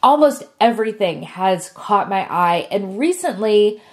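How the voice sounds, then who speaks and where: A woman's voice: medium pitch, expressive, a little slow. A woman, sick and sniffly, chatting casually to camera as a beauty vlogger. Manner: chatty and friendly, with frequent fillers.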